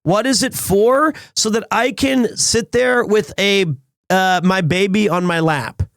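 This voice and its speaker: Slightly raspy man, high voice. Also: unhurried, dry recording, clean studio sound. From Wales. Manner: rambling, restarts often, excitable. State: slightly hoarse after being sick. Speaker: streamer. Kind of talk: casual live-stream chat.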